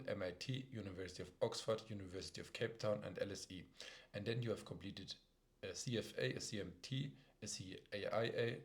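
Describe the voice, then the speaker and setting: A young man hosting a student investment podcast, reading out prepared questions and introductions. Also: on the low side. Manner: reads prepared questions, brief backchannels. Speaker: podcast interviewer. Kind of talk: podcast interview.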